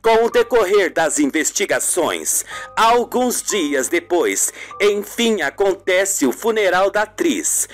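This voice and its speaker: A man narrates a radio soap with drama, taking it slowly. The pitch moves widely, and music plays faintly behind him. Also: big pitch swings.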